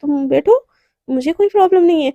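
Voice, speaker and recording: A woman's voice: high-pitched, a little fast, dry recording, dull audio. Woman doing a timid, apologetic young woman. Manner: hesitant, soft, stammering.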